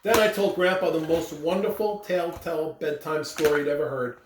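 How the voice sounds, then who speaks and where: A person reading a children's storybook aloud with expressive, animated delivery. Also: medium pitch, moderate pace. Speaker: school staff member reading aloud. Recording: roomy, distant mic with clear background noise.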